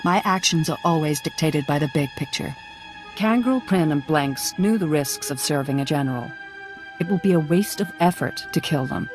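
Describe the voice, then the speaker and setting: A woman's voice: low voice, animated, moderate pace, music in the background. A woman playing a hard-headed, proud military general in a video game, speaking in a commanding, pragmatic way. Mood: cool defiance. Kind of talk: video game dramatic acting.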